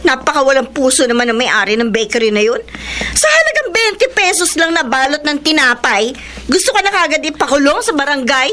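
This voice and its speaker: An actress playing a chatty, sympathetic woman friend in a radio drama, animated and gossipy. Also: high voice, fairly quick, slight background noise. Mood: indignant, sympathetic.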